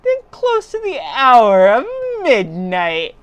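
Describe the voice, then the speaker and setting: Slow, clear woman reading horror dramatically. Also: mid-range voice, wildly swooping pitch, no room sound, lo-fi sound. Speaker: storyteller, livestream narrator.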